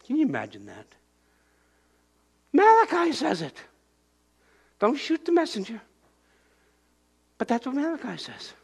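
A man's voice: extremely high pitch, measured pace, vocal fry, pauses often, slightly rough. An older man preaching a sermon in a church, moving about and raising his voice in bursts. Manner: animated preaching, shouting acted-out crowd voices and pointing. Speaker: pastor. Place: church sanctuary.

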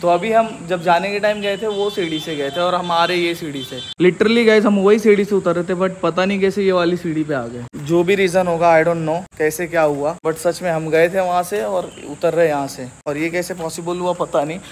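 A man vlogging as he explores an abandoned school by day, talking in an excited, spooked way and mixing in English words. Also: sits high, moderate pace, hardly any pauses. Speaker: vlogger exploring a haunted place. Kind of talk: casual walk-through vlog. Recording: loud insect and cricket noise behind.

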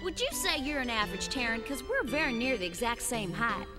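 A woman voicing a brash, teasing, impulsive androgynous young alien cadet in an animated film dub, cocky and blunt. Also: a little high, fairly quick. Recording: reverberant film soundtrack with music behind.

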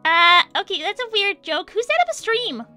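A woman voicing an excitable, anxious young female cartoon hedgehog, girlish and insistent, chatting in character on a livestream. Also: very high-pitched, normal speed, faint music behind, exaggerated voice. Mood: alarmed, indignant. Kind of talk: improvised in-character livestream chat.

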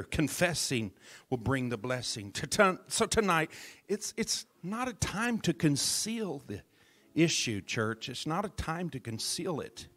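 A man's voice: fairly high pitch, a bit bright. A man preaching a sermon as minister at a church service, dramatic, dropping his voice to near whispers for emphasis and calling out to the congregation. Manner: calls out to the congregation.

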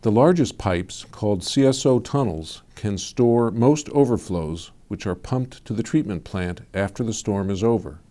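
A man giving measured, scripted voice-over narration for an explanatory video. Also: lowish voice. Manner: measured, even informational delivery.

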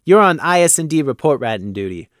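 A man voicing a dry, sardonic male sergeant with bat wings, talking deadpan. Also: a little high, quick pace, dry recording.

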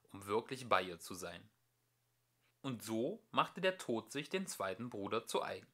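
A man reading a storybook aloud as an amateur narrator, with expressive, emphatic delivery. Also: medium pitch. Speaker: amateur audiobook reader.

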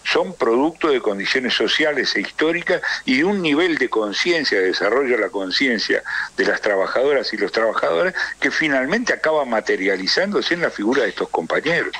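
An Argentine man speaking as a political interview guest, emphatic and rhetorical, building points through deliberate repetition. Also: medium pitch, lively intonation, bright, forceful tone, breathy edge. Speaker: interview guest, trade union leader.

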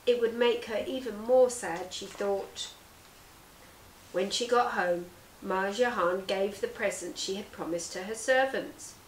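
A woman in her thirties, a teacher reading a children's story aloud with deliberate, theatrical phrasing. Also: mid-range voice, recorded from a distance, slight background noise. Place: living room sofa, indoors. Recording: roomy indoor echo.

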